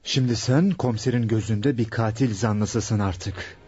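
An actor playing a sober, protective and earnest sweetheart with a husky voice in a dramatic radio play. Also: male voice, lowish voice, unhurried, dull audio, over music, close to an everyday voice. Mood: worried, reproachful.

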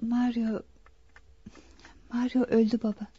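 An actress playing a devoted, frightened and emotionally fragile young daughter in a dramatic radio play. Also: female voice, on the high side, flat delivery, takes it slowly, dark tone, slightly rough, dull audio, exaggerated voice. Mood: grieving, hesitant.

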